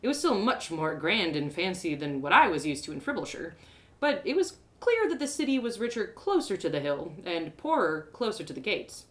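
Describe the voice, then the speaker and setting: A woman reading a first-person fantasy story as an expressive, descriptive storyteller. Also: lowish voice, moderate pace.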